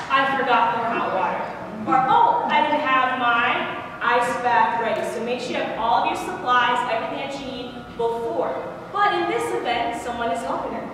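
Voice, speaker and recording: A young woman giving a live health demonstration, speaking loudly through a handheld microphone and putting questions to the audience. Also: high voice, noisy background. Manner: projecting, quizzing the audience. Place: large church hall. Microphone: handheld microphone, far camera. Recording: very echoey hall, from far off.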